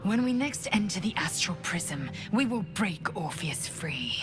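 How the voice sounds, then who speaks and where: Fierce, animated warrior. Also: female voice, on the low side, unhurried, music in the background. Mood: resolute, wary. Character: fierce, resolute, imperious female warrior. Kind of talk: video game voice acting.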